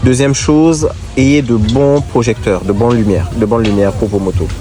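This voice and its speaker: A man with a cold and a congested voice, looking back on a motorcycle road trip and giving advice in a measured, halting way. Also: medium pitch, steady tone, mellow. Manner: measured, halting, gives advice directly to viewers. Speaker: motorcycle traveler. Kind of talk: casual reflective talk to camera.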